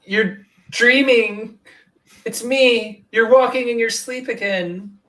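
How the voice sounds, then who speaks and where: A woman playing a prim, protective young Victorian lady, measured and proper, in a horror play. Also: a little high, a bit bright, poor audio. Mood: reassuring.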